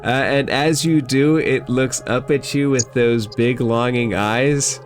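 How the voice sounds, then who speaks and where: A man's voice: medium pitch, fairly even intonation, slow, soft background music. A man acting as game master, narrating a tabletop fantasy game in a casual, descriptive way.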